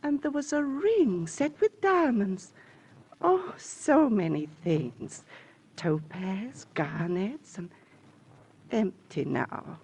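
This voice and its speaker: A woman's voice: mid-range voice, very animated, slow, darkish tone. A distraught middle-aged woman, swooping delivery. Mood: distraught, intense. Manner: theatrical, pleading, emotionally intense. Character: distraught, insistent fifty-two-year-old woman grieving her missing sister. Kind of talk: 1940s-50s radio drama acting. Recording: vintage radio recording with faint hiss.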